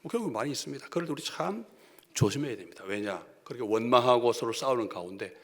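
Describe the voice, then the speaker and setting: A male pastor with a Gyeongsang accent preaches with sharp swings between loud declarations and near-whispered asides. His pitch leaps up and down as he presses each point. The sound carries a long reverb from a church sanctuary. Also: expressive, fast. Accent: Gyeongsang Korean. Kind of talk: sermon.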